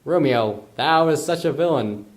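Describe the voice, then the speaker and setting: A man's voice: fairly high pitch, expressive, unhurried, voice pushed up. A man voicing a vengeful young brawler in a puppet play, theatrical and challenging, in an echoey room. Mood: menacing.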